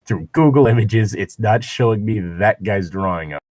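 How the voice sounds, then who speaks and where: A man's voice: fairly low pitch, moderate pace, a little mellow, dry recording. A man reading fan fiction aloud with casual, joking banter and digressive commentary. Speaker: co-reader and commentator.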